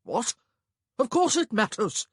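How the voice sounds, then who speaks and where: A woman's voice: lowish voice, big pitch swings, a little slow, breathy edge, no echo, clean studio sound, heavily acted. A woman voicing a fussy, anxious upper-class brother in an audiobook, with an earnest, blustering manner. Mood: stern, agitated.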